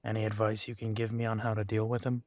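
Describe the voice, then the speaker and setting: A man's voice: fairly low pitch, completely flat delivery, quick pace, no room sound, studio quality, close to an everyday voice. A man playing a protective new werewolf pack leader in an audio roleplay, speaking earnestly and with some hesitation. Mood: worried, resolute.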